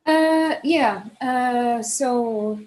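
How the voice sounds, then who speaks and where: A woman's voice: fairly high pitch, extremely slow, a little mellow, soft background music. A woman artist from Iceland, an English speaker, describes her work over a video call with many fillers as she searches for words. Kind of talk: casual spoken introduction.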